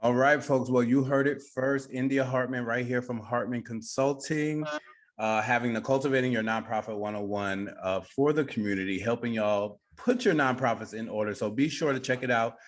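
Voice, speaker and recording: A man's voice: medium pitch, moderate pace. A man hosting a conversational radio interview, speaking to his guest over a video call. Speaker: radio show host, interviewer.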